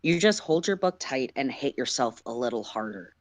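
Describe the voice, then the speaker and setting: A woman's voice: very deep voice, expressive, moderate pace. A woman narrating a story dramatically in the second person, as a livestream reader.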